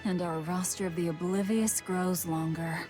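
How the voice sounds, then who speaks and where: A cool, curt female fighter played by a woman with a lowish voice. She is blunt and sardonic, in anime game style, with music clearly behind. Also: fairly even intonation, moderate pace, lightly acted.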